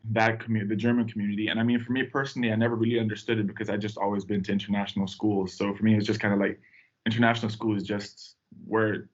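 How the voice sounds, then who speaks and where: A man's voice: lowish voice, level tone, fast talker. Young man telling stories, lo-fi sound. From Tanzania. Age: young adult. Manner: rambling, storytelling with fillers. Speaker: podcast guest. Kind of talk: casual podcast chat.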